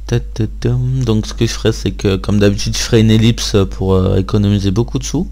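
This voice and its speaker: A man's voice: lowish voice, fairly even intonation, a little fast, mellow. A man hosting a gaming video, giving casual gameplay commentary and thinking aloud in an informal way.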